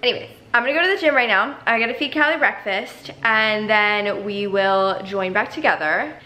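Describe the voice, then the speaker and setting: A woman's voice: mid-range voice, normal speed, bright, forceful tone, rough recording. A woman in her late twenties chatting casually and gushingly to camera in her apartment, recorded by a camera set close in front of her. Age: 20s. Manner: bubbly, gushing, talks straight to camera. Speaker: lifestyle vlogger. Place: apartment living room, indoors.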